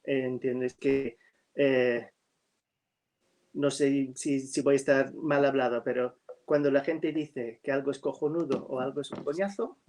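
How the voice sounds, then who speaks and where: Man, english native, casual video call chat, hesitant, lots of fillers, mid pitch. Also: steady tone, measured pace, a little mellow. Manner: hesitant, with many fillers and restarts. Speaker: writer. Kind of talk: casual conversation.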